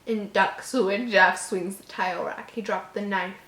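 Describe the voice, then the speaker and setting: A woman's voice: mid-range voice, steady tone, a little slow, fairly bright tone. A woman reading a horror story aloud as a host, stumbling over words and breaking off into mocking, exasperated asides. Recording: echoey room.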